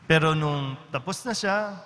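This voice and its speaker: Filipino priest in an echoing church. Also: male voice, fairly high pitch, measured pace, sharp tone. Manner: conversational, with frequent tag questions. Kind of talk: Sunday-style homily. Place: large church. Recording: church reverb with faint background noise.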